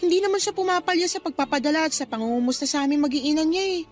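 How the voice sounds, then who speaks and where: A woman's voice: sits high, racing, faint music behind, natural voice. A woman playing an earnest, trusting wife who speaks respectfully but protests, in a Filipino radio drama. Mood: defensive, earnest. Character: trusting, defensive wife and polite grown daughter.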